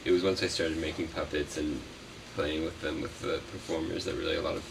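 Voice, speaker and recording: A man being interviewed as a puppeteer, chatting casually in a halting, rambling way that often trails off. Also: rumbling low, little pitch movement, vocal fry. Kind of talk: casual unscripted interview chat. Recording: noisy, distant mic.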